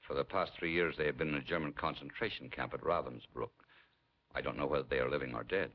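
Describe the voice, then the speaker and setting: An actor playing a middle-aged, guarded Polish resistance prisoner, terse and dignified, in a 1950s film. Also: male voice, extremely low pitch, quick pace, slightly rough, exaggerated voice. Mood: guarded, grave. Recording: dull 1950s film soundtrack.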